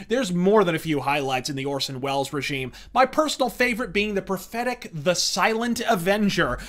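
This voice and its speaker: A man's voice: sits high, bright, forceful tone. A man delivering scripted review commentary as a host, bombastic, sardonic, wordy and profane. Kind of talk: scripted rant-style commentary.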